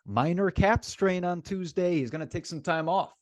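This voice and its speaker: A man's voice: high voice, moderate pace, dry recording. A man co-hosting a sports podcast in casual, opinionated chat, emphatic and informal, repeating phrases for emphasis.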